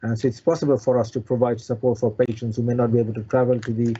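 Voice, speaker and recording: Mellow-voiced man explaining, halting, dull audio. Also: mid-range voice, little pitch movement, slightly rough. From Bangladesh. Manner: halting, repeats words and restarts often. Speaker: consultant bariatric surgeon, interview guest. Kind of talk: explanatory interview talk.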